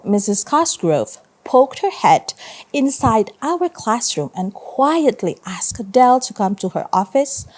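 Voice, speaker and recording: Mellow Indonesian-accented woman reading aloud. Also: mid-range voice, rough recording. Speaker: primary school teacher. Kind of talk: read-aloud storytelling for children.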